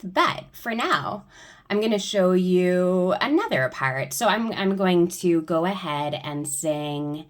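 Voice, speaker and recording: Woman, choir director type, somewhat low register, informal step-by-step instructional delivery. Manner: explains step by step. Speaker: choir director giving a software tutorial. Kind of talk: informal spoken tutorial.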